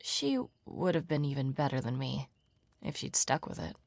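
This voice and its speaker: A woman playing a guarded, blunt young pianist in a video game, deadpan and matter-of-fact. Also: rumbling low, moderate pace, vocal fry, no room sound, heavily acted. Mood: wistful, flat. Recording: music behind the voice.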